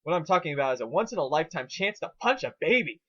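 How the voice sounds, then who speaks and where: Guy doing a manic girl pony nerd, cranky and ranty, swoopy high voice, dull sound. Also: sing-song, fast, sharp tone, full character voice. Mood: smug, scheming. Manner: ranting, gleefully obsessive. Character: manic irritable foul-mouthed female pony scholar. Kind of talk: amateur dramatic fan-fiction reading.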